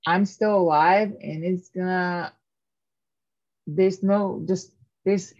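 Lowish woman's voice in her thirties, on slightly dull audio, candid and jokey. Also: fairly even intonation, slow, a little mellow. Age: 30s. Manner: candid, self-mocking, jokey. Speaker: podcast guest, artist. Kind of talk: casual interview chat.

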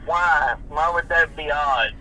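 A man, answering questions on a phone call in a halting, stammering way. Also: on the high side, pushed and bright, a little hiss or hum. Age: 50s. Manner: halting, stammering, repeats himself. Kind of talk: casual phone conversation.

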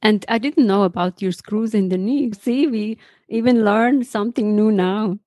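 A woman's voice: mid-range voice, moderate pace, dark tone. A woman hosting a podcast, introducing and interviewing guests. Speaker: podcast host.

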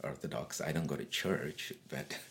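A man's voice: extremely low pitch, moderate pace, gravelly, a bit bright, a little hiss or hum. A middle-aged man from Eritrea, whose first language is Tigrinya, chatting easily in an interview and telling stories about his home with restarts and laughter. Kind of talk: casual conversational interview.

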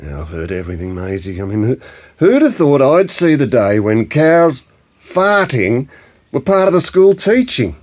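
A man's voice: mid-range voice, sing-song, normal speed, close to an everyday voice. A man playing a blunt, teasing old Australian grandfather in a naturalistic audio drama. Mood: indignant. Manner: blunt colloquial speech, teasing, ends phrases with "eh".

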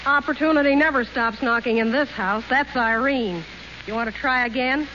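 A deadpan wisecracking maid. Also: female voice, sits high, moderate pace. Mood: wry. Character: wisecracking, sardonic household maid. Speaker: Molly. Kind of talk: 1930s radio play acting. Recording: dull old radio broadcast with hiss.

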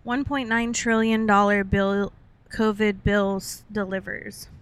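A woman's voice: mid-range voice, flat delivery, takes it slowly, smooth. A young adult woman co-hosting a podcast, chatting informally as she reads out and discusses news, often hedging as she goes. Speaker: podcast co-host. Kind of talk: casual chat, reading out and discussing news.

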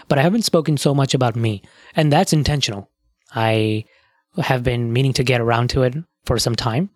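A man's voice: medium pitch, dry recording. A man hosting a podcast, chatting casually and explaining things with asides and fillers. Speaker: podcast host.

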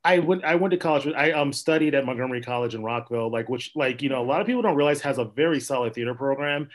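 A man's voice: mid-range voice, fast. A young man from Minnesota, an actor and playwright, chatting as a podcast guest in a rambling way with many fillers and laughs. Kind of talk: casual interview chat.

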